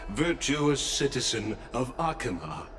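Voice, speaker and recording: A man's voice: mid-range voice, sing-song, a little slow. A man playing a dignified presiding official in a fantasy game, making formal, ceremonious proclamations. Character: dignified male presiding official of a citizens' assembly. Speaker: assembly announcer. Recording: music behind the voice.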